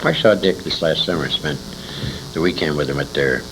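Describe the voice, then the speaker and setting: A man's voice: rumbling low, moderate pace, music in the background. An older man casually reminiscing as an interviewee, rambling and emphatic, with a salty, bawdy storytelling manner. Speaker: interviewee, old-time radio veteran. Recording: noisy amateur interview recording.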